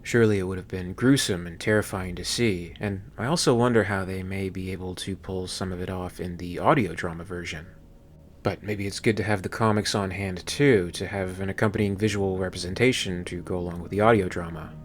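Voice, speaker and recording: A man's voice: deep voice, steady tone, brisk, slight background noise. A man hosting a video channel, delivering scripted commentary.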